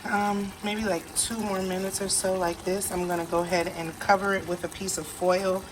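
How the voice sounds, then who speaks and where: A woman's voice: medium pitch, flat delivery, normal speed. Chatty woman cooking in a noisy kitchen. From Haitian family background. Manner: chatty, thinks aloud while working. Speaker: home cook showing a recipe. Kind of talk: casual cooking demo. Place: home kitchen with running tap. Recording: background noise.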